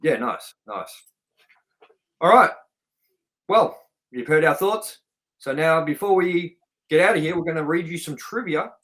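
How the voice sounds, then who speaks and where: A man's voice: a little high, lively intonation, unhurried, pauses often, a bit bright, low-quality recording. A man hosting a casual film review chat, speaking in an informal, chatty way with some swearing. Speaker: podcast host and film reviewer.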